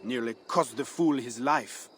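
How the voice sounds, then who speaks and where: An actor playing a cynical French rebel leader in a video game, sardonic and guarded, with a French accent. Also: male voice, mid-range voice, measured pace, lightly acted. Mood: dry, cynical. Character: wry, cynical male French rebel leader, secretly softhearted. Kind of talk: dramatic video game acting.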